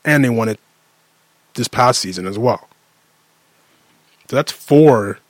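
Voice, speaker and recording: A man's voice: mid-range voice, slow, no room sound. A Canadian man in his late thirties giving a casual, emphatic sports rant, often repeating phrases for effect in an exasperated way. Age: late 30s.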